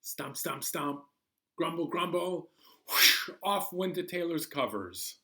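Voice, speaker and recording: Slow, expressive male storyteller. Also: a little high. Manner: dramatic, with pauses and drawn-out words. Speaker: library storyteller. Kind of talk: children's storytelling.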